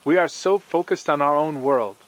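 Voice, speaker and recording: A man's voice: fairly high pitch, normal speed, lightly acted. A man reading the part of an earnest male scientist in a horror story, explaining formally and with emphasis. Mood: earnest, urgent. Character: earnest male scientist leading a research team.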